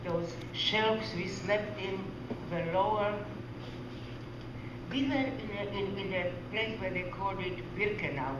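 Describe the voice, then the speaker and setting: A woman's voice: medium pitch, dull audio. Older woman, echoey hall. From Central Europe, near the Austrian border. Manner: deliberate and grave, with short emphatic phrases and repeated restarts. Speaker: guest speaker. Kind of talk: testimony talk to a school audience. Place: school hall. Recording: echoey hall from far off, background noise.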